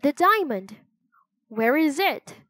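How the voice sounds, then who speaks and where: An earnest young boy from a children's storybook, played by a woman. The voice sits fairly high and sounds plain-spoken, a scared child trying to be brave. The sound is very dry. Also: lively intonation, a little slow, dry recording. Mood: alarmed.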